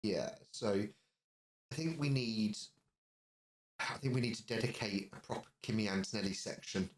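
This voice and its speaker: A man with a low voice co-hosts a podcast in a relaxed chat style. He talks conversationally, with some hesitation as he goes. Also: normal speed, a little hiss or hum, rough recording. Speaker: podcast co-host. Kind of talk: casual chat.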